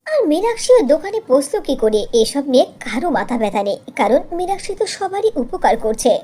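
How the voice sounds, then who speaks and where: A woman's voice: high voice, big pitch swings, brisk, warm tone. A woman voicing a sly female accomplice who eagerly tattles and gossips in a cartoon moral story. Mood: tattling. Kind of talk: dubbed cartoon dialogue.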